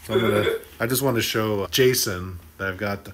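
A middle-aged man hosts a podcast from an office meeting room, speaking into a desk microphone. His voice sits a little low. He talks in an upbeat way and jokes with his guest. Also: moderate pace, a crowd in the background. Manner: upbeat, joking, teasing. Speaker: podcast host. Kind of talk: lively on-site podcast chat. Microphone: desk podcast mic.

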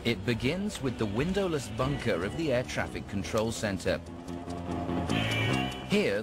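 A man reading scripted narration for a television documentary. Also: mid-range voice. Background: music.

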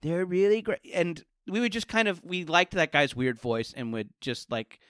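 A man's voice: on the high side, sing-song, normal speed, pushed and bright, no room sound, studio quality. A chatty, enthusiastic man talking casually as a guest in a podcast interview. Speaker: podcast guest, comedy writer.

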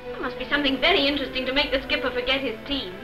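A woman's voice: high voice, steady tone, rapid-fire, lightly acted. An actress in her twenties playing a spirited, teasing young English woman on leave in a 1940s film. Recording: 1940s film soundtrack with music behind.

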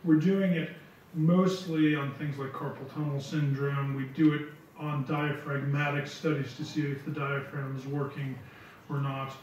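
A middle-aged man giving relaxed answers at a lecture hall podium, repeating words as he thinks. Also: fairly high pitch, a little slow. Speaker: visiting lecturer answering questions. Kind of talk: conference Q&A answers. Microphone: podium mic from far. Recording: echoey hall, distant dull sound, faint hiss.

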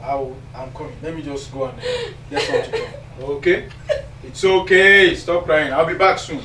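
A woman voicing an evasive husband, pitching her voice down, recorded from across an echoey room with music. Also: normal speed, far from the mic, background noise, lightly acted. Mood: soothing. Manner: dismissive, deep put-on voice. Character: evasive husband, a sick boy's father.

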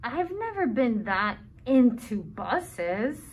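A man's voice: extremely high pitch, very animated, takes it slowly, a little hiss or hum, pitched above the performer's own voice, heavily acted. A man voicing schoolchildren who make wry, worried side comments in a picture book.

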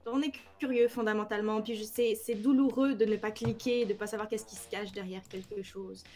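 A woman in her thirties from France, with a French accent and some Quebec expressions, explaining her work in a casual video-call interview with lively hand gestures. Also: medium pitch, brisk, soft background music. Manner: animated, explains with many examples, gestures with hands. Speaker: cybersecurity trainer, guest. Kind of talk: casual interview on a live stream. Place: home room, indoors.

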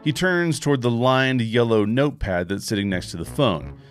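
A man in his forties reading screenplay action lines in a measured, wry way into a studio microphone in a home podcast studio. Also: medium pitch, lively intonation, faint music behind. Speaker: host and narrator. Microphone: studio microphone with headphones.

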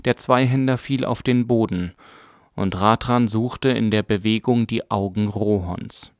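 A man narrating a fantasy audiobook in a calm, measured storytelling manner. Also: on the low side, no room sound, studio quality. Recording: music bed under narration.

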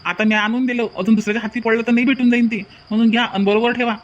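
Man playing a very fast-talking honest young villager. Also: very high voice, steady tone, highs cut off. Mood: modest, polite. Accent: Vidarbha Marathi. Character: honest, humble young working man returning lost gold. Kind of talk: comedy cartoon dialogue.

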